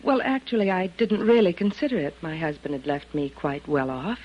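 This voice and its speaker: An actress playing an evasive, nervously defensive young widow in a 1950s radio drama, with guarded, dramatic delivery. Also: female voice, on the low side, brisk, lightly acted. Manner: guarded, dramatic, breaking into hushed or sharp tones. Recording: dull old radio recording.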